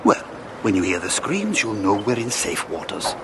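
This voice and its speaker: A seasoned male ship's captain in a radio drama. His low voice rises and falls in lively swings, wry and knowing, with clear ambient noise around him. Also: normal speed. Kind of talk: audio drama acting.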